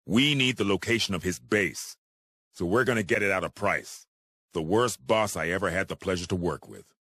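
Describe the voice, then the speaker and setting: A man's voice: deep voice, normal speed, bright, forceful tone, no echo, full character voice. A man playing a bitter, resolute mission leader giving a blunt, sardonic briefing in a video game. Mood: sardonic resolve. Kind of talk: video game cutscene acting.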